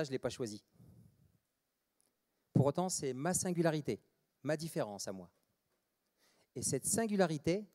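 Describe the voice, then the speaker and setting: A man from France speaks from a conference stage in a mellow, mid-pitched voice. He is deliberate and stresses his words dramatically, with long pauses between phrases. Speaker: mindset trainer and speaker. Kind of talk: short inspirational stage talk.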